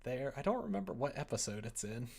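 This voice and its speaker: A man's voice: mid-range voice, sing-song, quick pace, creaky, darkish tone. A young man streaming, talking casually and playfully in a jokey way as he comments and reads aloud. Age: young adult. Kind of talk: casual livestream chat and reading aloud.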